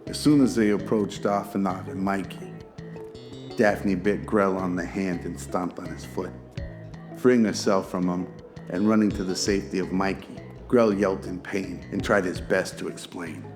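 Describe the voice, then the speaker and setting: A man's voice: low voice, steady tone, moderate pace, over music. A man narrating a scripted audio drama.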